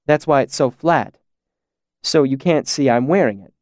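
A man's voice: medium pitch, moderate pace, a little mellow, no room sound, studio quality, natural voice. A man voicing a poised young woman who teases in a wry, matter-of-fact way.

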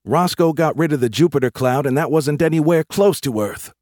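A man's voice: on the high side, expressive, brisk, a little mellow, no room sound, studio quality, pitched above the performer's own voice, natural voice. A man doing a grumbling, cocky army private. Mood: objecting. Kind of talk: audiobook character voice.

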